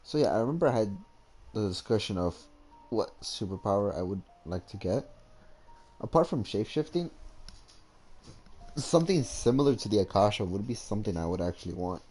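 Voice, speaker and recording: A man's voice: on the low side, lively intonation, a little fast, pauses often, warm tone, slightly rough. A man giving casual commentary on a game he is playing, rambling as he thinks aloud. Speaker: gamer reacting to his playthrough. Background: game music.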